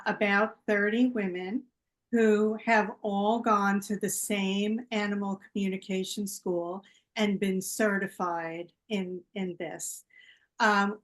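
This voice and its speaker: A woman hosting an online fundraiser, introducing her group slowly with many pauses and fillers. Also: medium pitch, level tone. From United States. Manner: slow and halting, with many fillers. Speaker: team captain and event host. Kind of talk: casual introduction.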